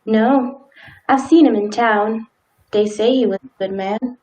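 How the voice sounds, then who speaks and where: A woman playing a polite, sympathetic small-town wife, gentle and hesitant, in a live radio play. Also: fairly high pitch, a little slow, dull audio, lightly acted. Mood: gentle, reassuring.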